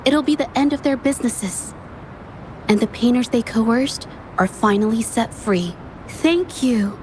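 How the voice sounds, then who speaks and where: An actress playing a shy, earnest young woman painter in a game, speaking softly and stammering when flustered. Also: on the high side, lively intonation, a little slow, slight background noise, rough recording. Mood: grateful. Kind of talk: game voice acting. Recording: game audio with faint music underneath.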